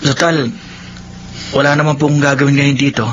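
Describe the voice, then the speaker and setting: A man's voice: mid-range voice, level tone, a little fast, noisy background, close to an everyday voice. A man playing a repentant young man in a radio drama, earnest and respectful. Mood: humble, respectful. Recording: dull audio with music underneath. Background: music.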